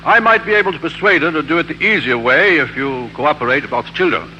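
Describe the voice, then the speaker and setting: A man's voice: a little high, lively intonation, fast, pushed and bright, highs cut off. An actor playing a stiff, formal older father-in-law in a 1940s radio drama. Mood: stiff, reserved. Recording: humming, noisy 1940s radio recording.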